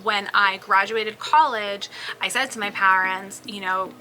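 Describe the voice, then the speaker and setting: A woman's voice: mid-range voice, steady tone, a little slow, sharp tone, faint music behind, poor audio. A woman in her thirties whose first language is Spanish, talking about her own life in a casual vlog, chatty, with lots of "um" and "like". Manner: chatty, with frequent ums and likes. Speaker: business owner. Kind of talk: casual personal vlog talk.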